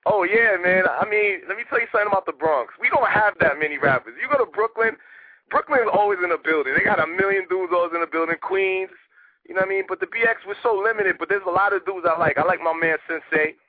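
A man from Haiti, a rapper guest talking casually in a radio interview, animated and streetwise. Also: high-pitched, husky, no echo. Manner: animated, streetwise, rapid talk with repeated "you know what I mean". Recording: tinny phone line, dry.

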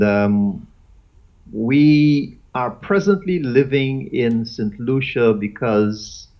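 Slow gravelly man, lo-fi. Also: on the low side. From Guyana. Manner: halting, with many fillers and repeated words. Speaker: guest. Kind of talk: casual interview chat. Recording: dull lo-fi audio.

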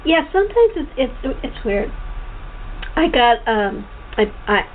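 Female host, higher voice, rambling and halting conversational delivery, thin phone-quality sound with faint noise. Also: big pitch swings. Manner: rambling, halting, restarts sentences often. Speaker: talk show host. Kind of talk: casual chat interview.

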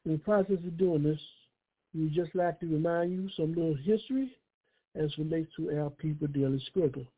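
A man hosting a political talk show, slightly hoarse and husky, speaking deliberately in emphatic short phrases. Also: on the high side. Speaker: radio show host. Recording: narrow, phone-line sound.

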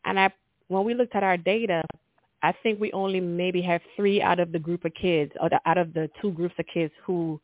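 A woman's voice: fairly low pitch, steady tone, brisk, no room sound. Jamaican-accented woman, middle school principal being interviewed, earnest and upbeat, fillers and restarts, thin phone-like call sound, dry. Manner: enthusiastic, earnest, polite with frequent fillers. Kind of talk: conversational interview. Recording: tinny call audio, dry.

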